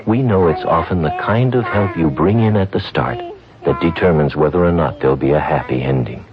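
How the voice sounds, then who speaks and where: A man reading a scripted radio commercial in a calm, reassuring way. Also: extremely low pitch, a little fast. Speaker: commercial announcer. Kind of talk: scripted ad voice-over. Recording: dull old radio ad with music bed.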